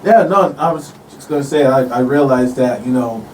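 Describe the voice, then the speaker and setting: An American man in relaxed, casual conversation as a guest. His mid-pitched voice sits a little away from the microphone, with faint room noise around it, and he speaks in a loose, laid-back way full of "you know". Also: moderate pace, recorded from a distance. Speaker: guest, local performer. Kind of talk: casual chat. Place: radio studio.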